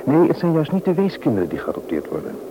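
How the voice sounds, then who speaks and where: An actor playing a sensible, hopeful married man in measured radio drama dialogue. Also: fairly high pitch, wildly swooping pitch. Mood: earnest, hopeful. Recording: noisy old radio recording.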